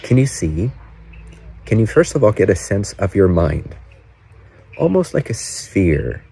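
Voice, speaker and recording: A man leading a guided meditation in gentle, unhurried short phrases with pauses between them. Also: fairly low pitch, big pitch swings, vocal fry, breathy edge. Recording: birdsong and faint outdoor ambience behind. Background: doves, frogs, birds and distant cars.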